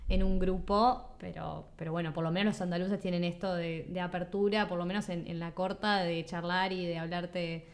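A woman's voice: on the low side, little pitch movement, moderate pace, hardly any pauses. A woman from Uruguay with a Uruguayan accent, hosting a casual conversational interview. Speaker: podcast host.

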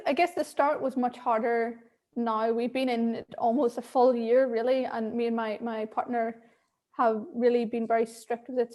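A woman's voice: on the high side, warm tone. A woman with an Irish accent, a guest speaking candidly on a video call, laughing often and repeating words as she thinks. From Ireland. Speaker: interview guest. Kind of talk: casual video-call conversation.

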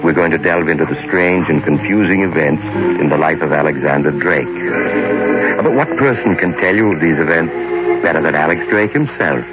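An actor playing an eerie, sardonic mysterious storyteller, slow and theatrical, in 1940s radio mystery style. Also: male voice, a bass voice. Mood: grave, suspenseful. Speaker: host and narrator. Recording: muffled old radio broadcast, loud music.

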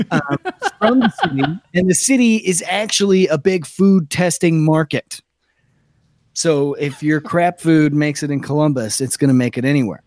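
A man's voice: a little high, dry recording. An American man from Utah co-hosting a casual comedy news podcast, talking in a profane, irreverent way. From Utah, United States. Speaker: podcast co-host.

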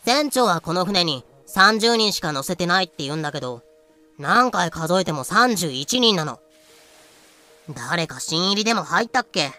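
A woman in a fan audio drama playing a talkative pirate crew member with casual, expressive speech. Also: fairly low pitch, normal speed, faint music behind. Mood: puzzled. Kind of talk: Japanese fan-made audio drama acting.

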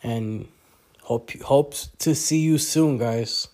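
A man's voice: mid-range voice, slow pace, a little mellow. A young man hosting his own podcast alone, congested and talking in a loose, rambling way with lots of fillers and restarts. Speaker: podcast host. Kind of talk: casual solo podcast talk.

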